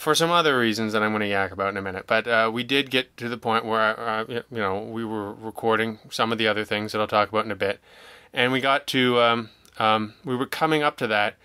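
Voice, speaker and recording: A man talking casually as an interview guest, telling stories in an animated, jokey, self-deprecating way and acting out remarks he quotes. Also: lowish voice, brisk, clear, resonant tone, no echo. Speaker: guest, audio drama writer and producer.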